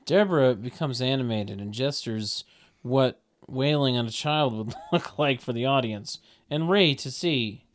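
A man with a mid-pitched voice reads stage directions for a table read. His manner is wry, slipping into mocking asides as he narrates. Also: measured pace.

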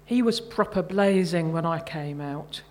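A woman's voice: on the low side, unhurried, darkish tone, lightly acted. A woman voicing an elderly, long-married mother, proud and plain-spoken, in a short story reading. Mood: gossipy.

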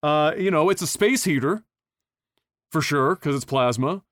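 Smooth, dry-voiced casual male podcast host. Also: fairly high pitch, animated, a little slow, dry recording. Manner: rambling, profane, frequent fillers and restarts.

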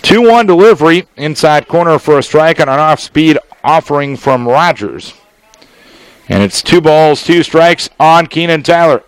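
A man with an American accent giving live baseball play-by-play on the radio, in measured, descriptive phrases with pauses between them. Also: fairly high pitch, animated, clean tone, no echo, rough recording. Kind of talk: live sports play-by-play commentary.

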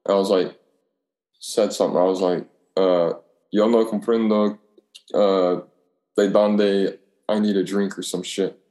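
Male, low register, slangy and sweary storyteller who plays out both sides of conversations, roomy echo. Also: flat delivery, measured pace, long pauses, warm tone. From Alabama, United States. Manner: profane, slangy, acts out dialogue. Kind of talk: casual chat, telling rambling anecdotes. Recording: echoey room.

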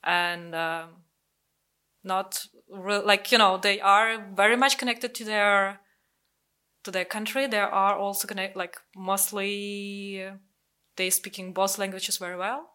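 Bright-voiced woman. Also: medium pitch, slow pace. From Russia. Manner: animated, full of "like, you know" fillers. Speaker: discussion participant. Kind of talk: casual discussion.

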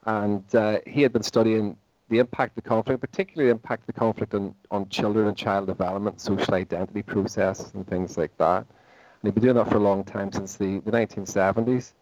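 A man's voice: on the low side, steady tone, brisk, darkish tone, no echo, lo-fi sound. A Northern Irish man in his forties, a professor answering interview questions in a thoughtful way, often restarting his sentences. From Northern Ireland. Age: 40s. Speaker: guest, psychology professor.

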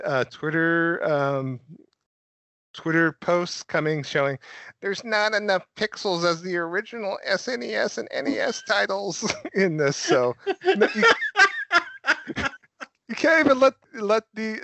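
A man's voice: sits high, lively intonation, unhurried, gravelly, a bit bright, a little hiss or hum. A man hosting a casual gaming podcast, joking and often laughing. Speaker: podcast host. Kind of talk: casual chat.

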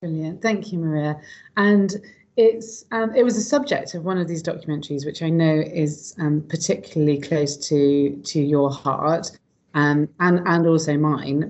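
A woman's voice: low voice, steady tone, measured pace, a little mellow. A woman hosting a podcast, effusive and rambling, with many ums and repeated words. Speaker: podcast host. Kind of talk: podcast interview.

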